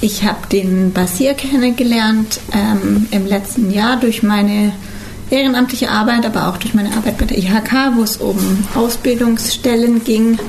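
Woman in her twenties, radio studio guest, calm and explanatory, faint background hiss. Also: fairly high pitch, normal speed, low-quality recording. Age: 20s. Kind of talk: radio interview answers.

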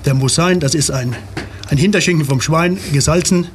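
A man in an interview, explaining in a steady, informative way. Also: on the high side, moderate pace, slight background noise. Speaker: club member.

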